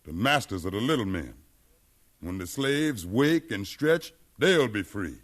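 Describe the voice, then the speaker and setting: An American actor playing a bold, educated freed slave who leads a revolt, with impassioned oratory in 1950s radio drama style. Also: male voice, fairly low pitch, sing-song, unhurried, a bit bright, full character voice. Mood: impassioned, persuasive. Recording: remastered 1950s radio broadcast.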